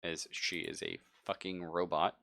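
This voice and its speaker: A man's voice: low voice, little pitch movement, a little slow, vocal fry, fairly bright tone, no echo, clean studio sound. A man narrating a tabletop roleplaying game as game master, casual and joking, with some swearing. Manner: casual, profane, joking asides.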